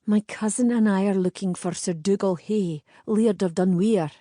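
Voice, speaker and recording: A woman's voice: medium pitch, normal speed, mellow, dry recording, studio quality, close to an everyday voice. A woman playing a firm young Scottish heroine. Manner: firm, commanding. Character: bold young Scottish woman, steady, sharp-tongued. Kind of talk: audiobook character voice.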